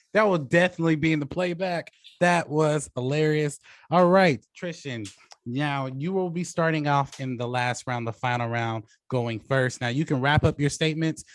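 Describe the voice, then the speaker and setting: This is a man hosting a debate show, with a somewhat high voice captured close and dry. He moderates off the cuff and reacts excitably out loud. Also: no echo.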